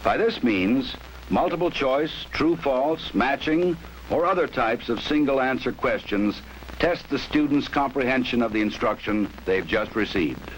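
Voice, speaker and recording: An American man reading a formal, measured documentary narration for an instructional film. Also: mid-range voice, expressive. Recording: noisy 1960s training film soundtrack.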